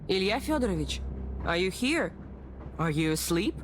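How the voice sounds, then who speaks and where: A woman's voice: medium pitch, very animated, moderate pace, natural voice. An actress playing a self-assured, mysterious female fortune-teller who coaxes and teases in a visual novel. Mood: teasing. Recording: voice over prominent background music.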